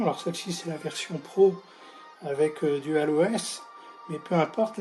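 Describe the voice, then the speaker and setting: A man giving a casual review of a tablet, hoarse and halting, with frequent filler sounds. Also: high voice, darkish tone. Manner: hesitant, many filler sounds. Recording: music clearly playing behind voice.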